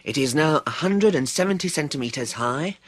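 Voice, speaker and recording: An earnest teenage English boy who loves plants. His pitch jumps about and the voice has a slightly husky edge. He speaks in a precise, hesitant way, in radio drama style. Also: animated, fairly quick. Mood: solemn reciting. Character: earnest, curious teenage boy, a keen amateur botanist. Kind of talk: British radio drama acting.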